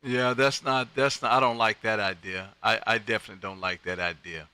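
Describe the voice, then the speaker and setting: Bright, forceful American radio host. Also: male voice, on the low side, fairly even intonation, a little fast, smooth. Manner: folksy, effusive, peppers talk with friendly address. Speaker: amateur radio operator and livestream host. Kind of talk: casual ham radio chat.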